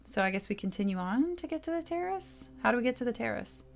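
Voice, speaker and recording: A woman's voice: on the high side, lively intonation, fast talker, gravelly. A woman hosting a casual game stream, chatting with viewers in a friendly, giggly, effusively thankful way.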